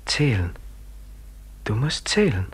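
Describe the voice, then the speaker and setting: An actor playing an eerie inner voice, cold and taunting, half-whispered and ominously insistent. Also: male voice, mid-range voice, big pitch swings, takes it slowly, a little hiss or hum.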